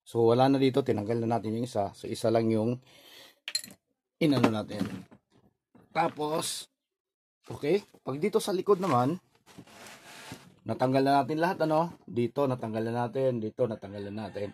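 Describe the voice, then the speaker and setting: A man's voice: medium pitch, normal speed, pauses often, darkish tone. A man with a Filipino accent narrating an informal hands-on repair tutorial in short, step-by-step instructional phrases. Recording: noisy recording with clicks.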